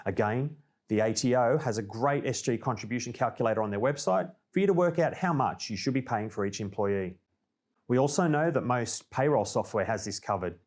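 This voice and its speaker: A man with an Australian accent presenting a scripted informational update in a clear, orderly, explanatory way. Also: medium pitch, lively intonation, fairly quick, a little mellow. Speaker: presenter of a company newsreel.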